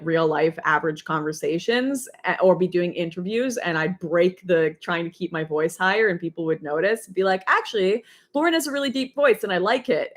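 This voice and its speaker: A young woman with a deep voice, hosting a casual livestream and reading out chat messages. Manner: chatty, rambling, laughs often.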